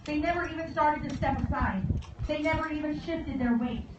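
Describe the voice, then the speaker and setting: A woman delivering a formal commemorative speech as guest speaker at a memorial service, in a solemn, measured manner with deliberate phrasing. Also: high-pitched. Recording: echoey, distant, dull and very noisy.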